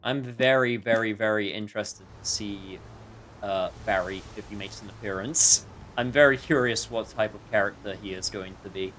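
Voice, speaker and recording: A man's voice: fairly low pitch, normal speed, fairly bright tone, slight background noise, rough recording. A man livestreaming a video game with casual chat and wry, joking, sometimes sweary commentary.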